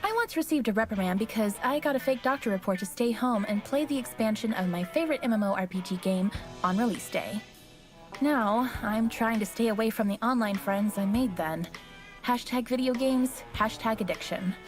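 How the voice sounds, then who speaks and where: Woman playing a stern, higher-voiced game heroine. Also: brisk, close to an everyday voice. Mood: earnest confession. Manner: firm, matter-of-fact, scolding. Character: stern law-enforcing young woman, confessed gaming addict. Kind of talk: comic video game cutscene acting. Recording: game music behind the voice. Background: game music.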